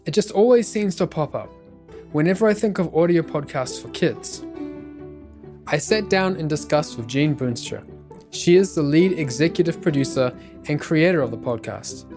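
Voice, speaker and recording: A man's voice: a little high, darkish tone. A man hosting a podcast, reading scripted narration.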